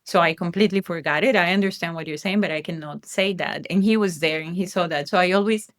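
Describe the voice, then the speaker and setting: Colombian woman chatting thoughtfully, lowish voice, dry close mic. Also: quick pace, dry recording. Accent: Colombian Spanish. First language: Spanish. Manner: reflective, many restarts and fillers. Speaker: guest parent. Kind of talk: casual conversation.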